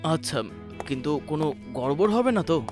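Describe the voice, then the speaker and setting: A man's voice: fairly high pitch, expressive, moderate pace, darkish tone, faint music behind, natural voice. A man voicing an anxious, hesitant young thief in a cartoon, earnest and worried. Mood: cautious. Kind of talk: cartoon voice acting.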